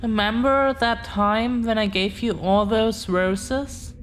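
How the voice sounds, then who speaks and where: A woman's voice: a little high, takes it slowly, a little hiss or hum. A woman playing an affectionate, earnest dragon-blooded girlfriend in an intimate audio roleplay, confessing to the listener in a hesitant, tender way. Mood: tender, vulnerable.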